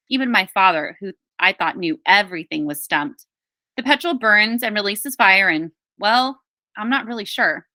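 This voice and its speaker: A woman reads a story aloud with expressive emphasis. Her voice is bright and forward, at an average pitch. The sound is dry, with very little room on it. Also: no echo. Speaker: teacher reading a book aloud. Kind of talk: scripted audiobook-style narration of a children's memoir.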